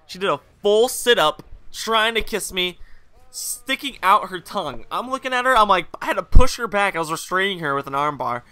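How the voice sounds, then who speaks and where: Bright, crisp-voiced young guy, hungover. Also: high-pitched, expressive, moderate pace, dry recording. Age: young adult. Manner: rambling, joking, full of fillers and asides. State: hungover, voice a bit rougher and lower than usual. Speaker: gaming video host. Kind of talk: casual storytelling over gameplay footage.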